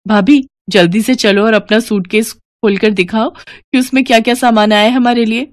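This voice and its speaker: A woman's voice: fairly high pitch, fairly even intonation, moderate pace, no room sound, natural voice. A woman voicing a pushy, entitled young sister-in-law with a demanding manner in a cartoon story. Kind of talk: Hindi cartoon story voice acting.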